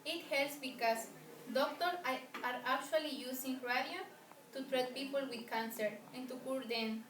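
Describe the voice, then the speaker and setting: A woman acting an earnest woman scientist, high-voiced. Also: a bit bright. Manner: halting, earnest, formal non-native phrasing. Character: famous pioneering woman scientist, polite, earnest, proud talk-show guest. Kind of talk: amateur acted interview for a student film. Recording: roomy, distant mic, faint noise.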